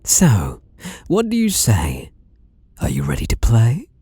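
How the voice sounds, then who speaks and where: A man's voice: on the low side, wildly swooping pitch, slow, dark tone, slightly rough, dry recording, heavily acted. A British man playing a sadistic, obsessive masked killer in an ASMR roleplay, teasing and menacing with mock tenderness and whispered words. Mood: gloating, possessive.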